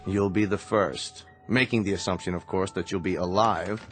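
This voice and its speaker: Grave, low-voiced American doctor, played by a man. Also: steady tone, soft background music, natural voice. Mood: clinical, grave. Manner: measured, somber, terse. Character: grave, protective doctor, reluctant brother of the patient. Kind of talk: radio drama acting.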